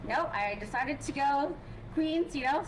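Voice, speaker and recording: A chatty woman guest from Poland, a native Polish speaker, talks in a high, bright register. She keeps adding "right?" and "you know" as she talks. The recording has clear background noise running under her voice. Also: lively intonation, measured pace. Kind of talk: casual interview chat.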